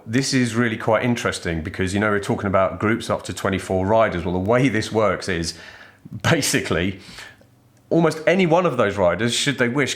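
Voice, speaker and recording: A man's voice: lowish voice, fairly bright tone. A middle-aged British man giving an informal product review to camera in a chatty, irreverent way with casual slang. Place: indoor studio set styled as a workshop.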